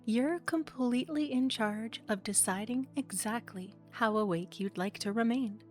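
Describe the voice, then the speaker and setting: Slow, soothing woman guiding relaxation. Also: fairly high pitch, soft background music. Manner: slow, soothing, gently coaxing. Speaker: guided relaxation host. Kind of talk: ASMR guided relaxation, hypnosis-style script.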